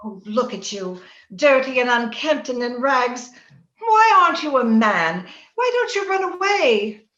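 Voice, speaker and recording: A woman's voice: high-pitched, big pitch swings, measured pace, fairly bright tone, full character voice. A woman playing a willful, romantic young girl in an old-time radio drama, earnest and coaxing. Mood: reproachful urging. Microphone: remote video call. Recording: roomy, muffled home video-call recording.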